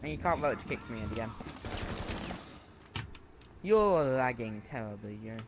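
A man's voice: medium pitch, animated, background noise. A teenage boy from Britain with a British accent, arguing and joking with friends over a headset during a shooter game. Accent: British English. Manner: argumentative, quick to protest. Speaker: gamer friend. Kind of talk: casual game chat. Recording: gunfire from the game clearly behind.

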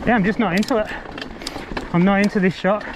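Aussie guy chatting casually while riding his mtb, highish voice, lots of wind noise on the action cam. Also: fairly even intonation, fairly quick. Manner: laid-back, fragmented musing while riding. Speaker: mountain bike vlogger. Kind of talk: casual ride-along gear commentary. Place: outdoors on a bushland trail, riding a bike. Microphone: action camera worn while riding. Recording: muffled action-camera audio, loud wind and trail noise.

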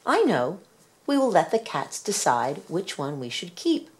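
A woman's voice: on the low side, big pitch swings, a little slow, a little mellow. A woman voicing an exclaiming, practical very old wife in a storybook, emphatic in manner. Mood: decisive. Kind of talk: storybook character voice.

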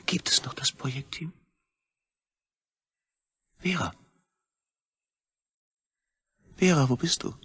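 Husky, anguished trapped astronaut. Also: male voice, mid-range voice, unhurried, fairly bright tone. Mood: longing. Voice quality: husky, gravelly. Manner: brooding, intense, often near-whispered. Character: anguished male astronaut reduced to a disembodied brain. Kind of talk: radio drama inner monologue.

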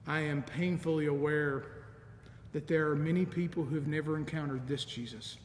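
A middle-aged man preaching a sermon as a deacon, measured and earnest, with soft laughs. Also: steady tone. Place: large empty church sanctuary. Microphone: stand mic in front of lectern, camera at a distance. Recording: echoey church with long reverb, faint rumble.

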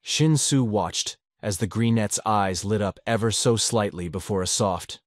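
Brief: male podfic narrator, low voice, steady measured read, dry close sound. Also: no echo. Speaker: audiobook narrator. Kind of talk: fanfiction audiobook narration.

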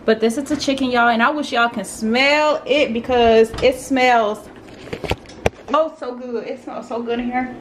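A woman's voice: a little high, steady tone, measured pace, noisy background. A young woman vlogging as she cooks in her home kitchen, chatting casually and calling her viewers y'all. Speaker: cooking vlogger. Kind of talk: casual cooking chat. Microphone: camera on tripod and phone.

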